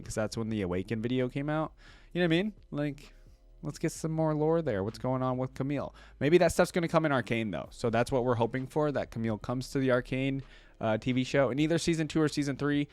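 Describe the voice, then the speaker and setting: A young man with a clean, smooth voice gives casual reaction commentary. He rambles informally like a fan, at an average pitch, recorded close and dry. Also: no room sound. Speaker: YouTube reaction host.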